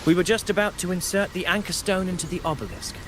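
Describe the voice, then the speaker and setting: Man acting a dutiful, higher-voiced military officer. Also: fast, natural voice. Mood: respectful, earnest. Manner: respectful, formal. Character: dutiful male military officer serving his commander. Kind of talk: video game voice acting. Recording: game ambience noise behind voice.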